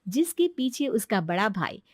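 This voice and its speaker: A woman's voice: medium pitch, sing-song, measured pace, no room sound, clean studio sound. A woman narrating a moral bedtime story for children in a scripted storytelling style.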